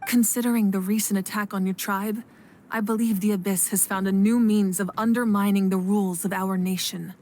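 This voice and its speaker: A woman voicing a calm, authoritative female ruler of fire in a game, patient and measured. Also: medium pitch, slight background noise, close to an everyday voice. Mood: composed. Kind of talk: game voice acting.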